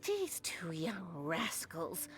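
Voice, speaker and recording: A kindly old village granny from a fantasy video game. She talks slowly and wearily, with lively swings of pitch over game music. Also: exaggerated voice. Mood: weary, pleading. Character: kindly elderly village granny, weary of squabbles. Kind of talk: video game character dialogue.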